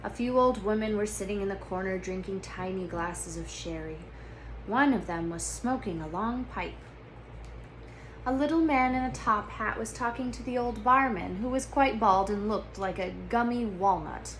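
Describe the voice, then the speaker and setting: A woman's voice: medium pitch, normal speed, a little hiss or hum, poor audio. A woman reading a storybook aloud expressively, as a storyteller to listeners.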